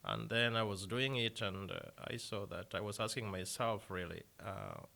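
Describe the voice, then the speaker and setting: Kenyan guy in an interview, lowish voice, kinda bright and forceful, lots of uhs and restarts. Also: expressive, creaky. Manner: halting, many fillers and restarts. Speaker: deacon, interview guest. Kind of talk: interview answers.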